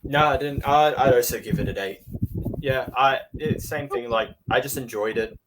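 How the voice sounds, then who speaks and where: A man's voice: mid-range voice, normal speed. A man giving his opinion in casual review chat over an online call. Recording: noisy call microphone.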